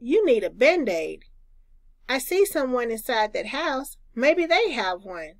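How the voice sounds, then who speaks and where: A woman voicing an eager, naive, excitable little boy in a children's storybook. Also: a little high, measured pace. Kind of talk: children's storybook read-aloud.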